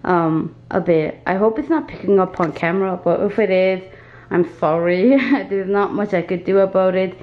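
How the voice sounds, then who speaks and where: A young woman with a raspy flu voice, chatting casually as she shows off her home craft studio. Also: mid-range voice, normal speed, highs cut off. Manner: chatty, self-deprecating, filler-heavy. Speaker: small shop owner giving a studio tour. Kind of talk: casual video tour.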